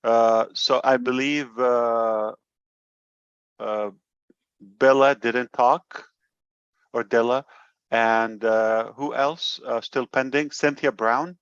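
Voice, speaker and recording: Man, company leader, informal motivational talk, halting delivery, smooth voice, dry lo-fi call audio. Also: medium pitch, fairly even intonation, no echo. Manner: halting, searching for words. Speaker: company leader speaking at an online meeting. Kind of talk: informal motivational address and chat.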